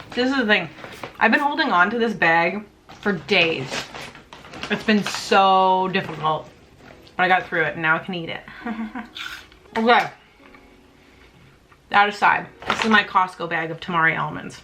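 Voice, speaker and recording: A woman's voice: medium pitch, bright, forceful tone, poor audio. A young woman, chatting in a casual home vlog about her snacks, bubbly and self-mocking, often laughing. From Canada. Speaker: vlogger.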